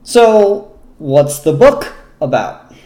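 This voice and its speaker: A man hosting a casual, unscripted review show, talking in a halting way with pauses mid-sentence and casual swearing. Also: fairly high pitch, very animated, warm tone. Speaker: comic book reviewer and show host. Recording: roomy, echoey room sound.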